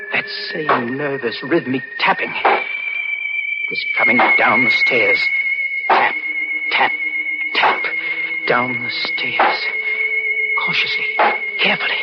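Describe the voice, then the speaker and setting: An actor playing a guilt-ridden, nervous young man in an old radio drama, with a halting, intense delivery. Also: mid-range voice. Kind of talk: 1940s radio drama acting. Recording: muffled old radio recording, loud music.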